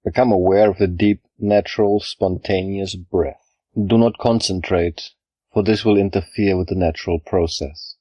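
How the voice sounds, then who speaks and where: A man with a low-pitched voice guides a relaxation practice in calm, measured phrases. He gives each instruction plainly and leaves pauses between them. The sound is dry and close, with very little room. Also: no echo, dull audio. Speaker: yoga nidra guide. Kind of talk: guided meditation, scripted narration.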